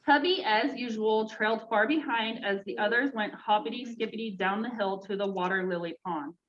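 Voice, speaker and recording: A woman reading aloud to children in an expressive, engaging way. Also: medium pitch, normal speed. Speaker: storytime reader for children. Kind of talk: read-aloud children's story, then casual chat with children. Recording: dull lo-fi sound, clear background noise.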